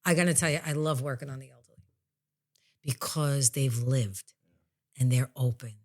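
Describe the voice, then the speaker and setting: A woman's voice: a bass voice, moderate pace, darkish tone. A plain-spoken woman talking casually as a psychic medium and podcast host, explaining her work in an informal way. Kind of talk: casual conversational explanation of spiritual healing work.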